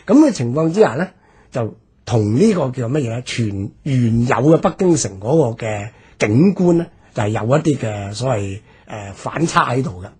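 A man with a Hong Kong Cantonese accent explains history off the cuff as a commentator, halting and drawing out his particles. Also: mid-range voice, lively intonation, darkish tone, husky. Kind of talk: unscripted explanatory discussion.

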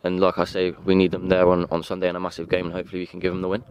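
Young man, footballer, deep flat voice, restarts often, outdoor pitch, faint noise behind. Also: highs cut off. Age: young adult. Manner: earnest, halting with restarts. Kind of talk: post-match interview. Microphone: handheld interviewer mic.

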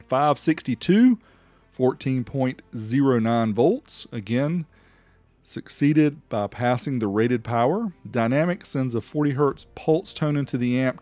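A man's voice: mid-range voice, darkish tone. A man hosting a gear review, narrating tests casually in a matter-of-fact, explanatory way and reading out figures. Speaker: host of an audio gear review channel. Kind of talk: casual product test narration.

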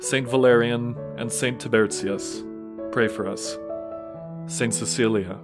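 Man, scripted storytelling narration, mid-pitched voice, quiet music underneath. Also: fairly even intonation, measured pace.

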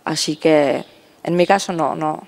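Novice in her 30s, chill and friendly, handheld mic, on the low side. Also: measured pace, creaky, darkish tone, slight background noise. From Czech Republic. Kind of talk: interview answers. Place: cloister garden outdoors.

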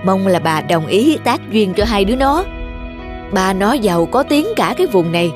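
A woman voicing a haughty, pushy, rich middle-aged mother in an animated folk tale, bossy and boastful. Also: mid-range voice, moderate pace, music in the background, close to an everyday voice.

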